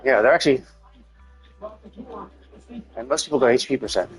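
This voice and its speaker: A man's voice: medium pitch, normal speed, soft background music. Man thinking aloud on a gaming stream. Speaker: live streamer.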